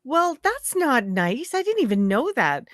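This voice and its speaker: Brief: giggly woman co-host, very lively higher voice, dry close sound. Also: measured pace, no room sound. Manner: giggly, bubbly, trails off mid-sentence. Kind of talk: casual live chat.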